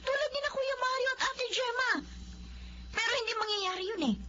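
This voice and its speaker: A woman's voice: very high voice, fairly quick. A woman with a Filipino accent acting in a radio drama as an ambitious, emotional wife and mother with a pointed manner. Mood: nagging, scornful. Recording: muffled audio with faint background noise.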